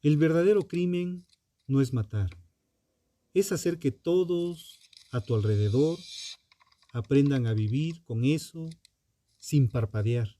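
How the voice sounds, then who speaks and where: A man reading a scripted true crime narration in a solemn, dramatic manner, in short phrases with emphatic pauses. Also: fairly high pitch, warm tone, no room sound.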